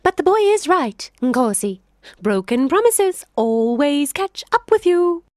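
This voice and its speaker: A woman voicing a tricky male jackal who talks in a fast, fawning and giggly way in a storybook. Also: high-pitched, sing-song, no room sound, clean studio sound, pitched above the performer's own voice. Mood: gloating. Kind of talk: storybook read-aloud.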